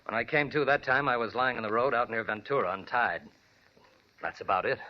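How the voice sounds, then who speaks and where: An actor playing a mild, earnest, polite artist in a 1940s radio drama. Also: male voice, mid-range voice, fairly quick, lightly acted. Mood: weary, earnest. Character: mild artist husband, adult man, earnest kidnap victim. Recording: muffled vintage radio broadcast.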